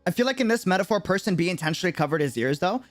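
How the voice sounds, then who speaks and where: Male game streamer, lively swooping intonation, earnest chatty explainer, faint music behind him. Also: high-pitched, very fast. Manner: conversational, earnest, explaining by examples. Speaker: game livestreamer. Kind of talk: casual livestream commentary.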